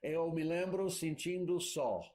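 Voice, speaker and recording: A man's voice: on the high side, slow pace, darkish tone. A man interpreting a sermon live in a church, searching for words with restarts and fillers.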